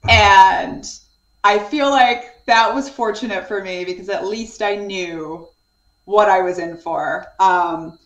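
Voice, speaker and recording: A woman's voice: medium pitch, takes it slowly, echoey room, rough recording. A woman in her thirties, an author talking earnestly and reflectively as a guest on a video call from her home study. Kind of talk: conversational interview.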